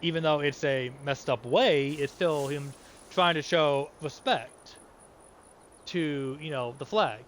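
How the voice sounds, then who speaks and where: A man in his thirties from the United States chats through gameplay in a rambling way, often restarting his sentences. His voice sits a little high, with clear background noise under it. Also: slow. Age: 30s. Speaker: gamer. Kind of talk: casual gameplay commentary.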